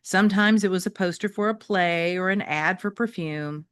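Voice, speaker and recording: A woman voicing a wry, wistful three-legged shelter dog who loves food, telling a children's story in an expressive, conversational way. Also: on the low side, normal speed, clean tone, no room sound, natural voice. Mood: wry, puzzled. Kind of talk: first-person children's novel read aloud.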